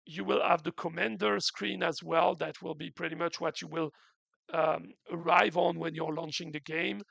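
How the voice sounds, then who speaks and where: A man's voice: a little high, steady tone, normal speed, vocal fry, a bit bright, dry recording, lo-fi sound. A man hosting a game's community stream, explaining updates in an earnest, casual way with many restarts; his throat is a bit sore after the flu. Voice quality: unusual voice, in his words.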